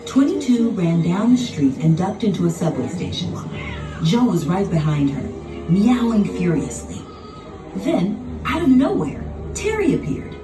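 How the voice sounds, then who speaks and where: A woman narrating a children's storybook in an expressive, dramatic scripted style. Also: lowish voice, a little slow. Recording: roomy, distant sound with music behind.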